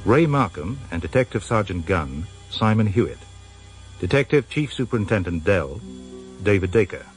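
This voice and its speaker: A male continuity announcer reads cast credits in a formal, scripted way. His voice sits fairly low, with music behind it, on a vintage radio recording. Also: sing-song, moderate pace.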